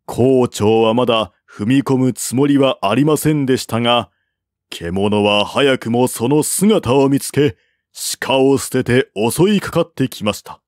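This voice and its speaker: Slow, measured male storyteller. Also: mid-range voice, darkish tone, no echo, clean studio sound. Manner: measured, formal storytelling delivery. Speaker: narrator, audiobook-style reader. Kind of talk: scripted narration of a historical Chinese novel.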